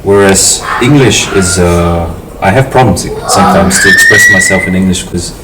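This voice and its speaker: Very low-voiced Samoan man, distant and roomy. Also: normal speed. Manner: thoughtful, hesitant with fillers and restarts. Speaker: interviewee, family host. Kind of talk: casual reflective conversation. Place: home in a city. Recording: roomy, distant mic with noticeable noise.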